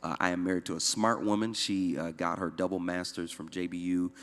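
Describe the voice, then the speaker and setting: A man's voice: deep voice, flat delivery, a little fast, a bit bright. A man in his thirties from upstate New York, a young adult pastor, speaking in an easygoing, joking way with many fillers. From Syracuse, New York. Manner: easygoing, many "uh" fillers, self-deprecating humor. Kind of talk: church talk, personal testimony. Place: church room. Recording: long hall reverb.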